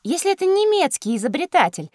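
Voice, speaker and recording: High, animated, curious young girl. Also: fast talker, no room sound, studio quality. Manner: expressive, inquisitive delivery. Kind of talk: scripted children's educational audio drama.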